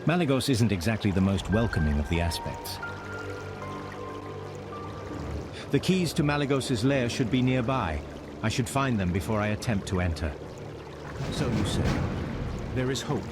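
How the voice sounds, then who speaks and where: A man playing a grave, determined mage in a fantasy game, with formal, measured, earnest phrasing. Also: lowish voice, expressive, lightly acted. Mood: wary resolve. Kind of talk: fantasy game cutscene acting. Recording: music behind the voice.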